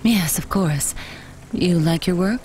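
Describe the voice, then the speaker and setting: Low-voiced, commanding dominatrix. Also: female voice, takes it slowly, darkish tone, a little hiss or hum. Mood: brisk, proud. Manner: formal, clipped, commanding. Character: brisk, self-assured female dominatrix, proud and impatient. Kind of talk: video game voice acting.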